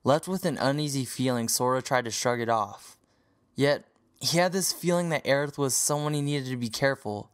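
Male audio drama narrator, medium pitch. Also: moderate pace, clear, resonant tone. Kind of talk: scripted story narration.

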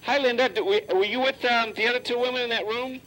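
A man's voice: extremely high pitch, steady tone, fast, low-quality recording. A man with a Boston accent hosting a live call-in TV show, teasing and joking with guests and callers. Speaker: TV talk show host. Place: TV studio with audience.

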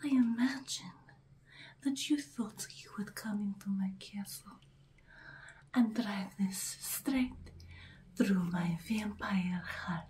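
Centuries-old female vampire, played by a woman, ASMR roleplay: menacing, condescending, breathy whisper, extremely unhurried delivery. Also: mid-range voice, darkish tone, slight background noise, lightly acted, rough recording. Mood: mocking. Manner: slow, deliberate, taunting, condescending.

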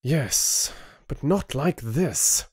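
Man acting a hot-tempered, defiant young man, raised pitch. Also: animated, takes it slowly, no room sound, studio quality. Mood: urgent. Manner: indignant, forceful, earnest. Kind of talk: audiobook character acting.

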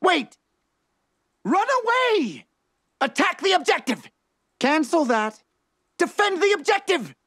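A mischievous, excitable little fox inventor from a video game. The voice swoops widely in pitch and is recorded close and dry. Each short line is exclaimed theatrically, with drawn-out, unhurried delivery. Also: male voice, dry recording, exaggerated voice. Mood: theatrical alarm. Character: manic little fox mad scientist, male, mischievous and excitable. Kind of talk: video game character voice lines.

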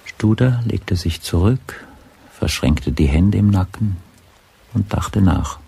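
A man with a gravelly, husky voice narrating a detective radio drama as a scripted storyteller. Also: sits low, lively intonation, takes it slowly, mellow, slight background noise, exaggerated voice. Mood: measured.

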